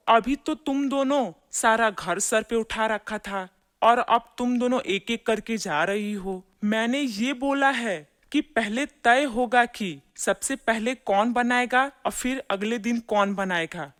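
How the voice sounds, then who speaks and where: An exasperated mother-in-law from a cartoon family tale, acted by a woman. Her voice sits somewhat high, and she scolds her bickering daughters-in-law as the one in charge of the household. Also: slow pace, a bit bright. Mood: scolding. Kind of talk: animated moral story dialogue.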